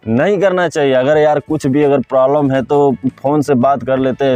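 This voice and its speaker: A man's voice: medium pitch, mellow, dry recording, dull audio. A man with a Bhojpuri-influenced Hindi accent giving informal gossip commentary, repeating himself and putting questions straight to viewers. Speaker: host of a film gossip video channel.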